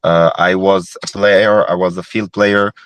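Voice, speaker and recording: Young male guest, deep and dry, thoughtful with many restarts. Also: little pitch movement, measured pace, fairly bright tone, no room sound. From Morocco. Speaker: former goalkeeper, guest. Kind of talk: reflective podcast interview.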